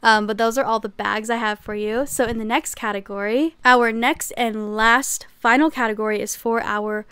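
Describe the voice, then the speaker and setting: Chatty young woman with a smooth voice. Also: fairly high pitch, a bit bright, no room sound. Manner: chatty, upbeat, often ends lines with "okay?". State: losing her voice. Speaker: crochet channel host. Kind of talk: casual vlog chat.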